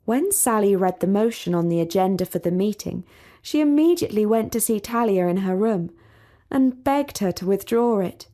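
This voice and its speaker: A woman narrating a storybook for English learners in a clear, measured, deliberate way. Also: medium pitch, animated, mellow.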